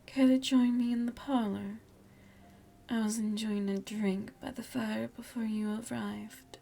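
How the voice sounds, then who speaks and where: A woman performs an elegant vampire noblewoman in an ASMR roleplay, motherly and seductive. Her voice is dark and soft, often sinking toward a whisper. She coaxes in slow, genteel phrases, with faint ambient noise behind her. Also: fairly high pitch, fairly even intonation, gravelly. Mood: gracious, welcoming. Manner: slow, genteel, softly half-whispered, coaxing.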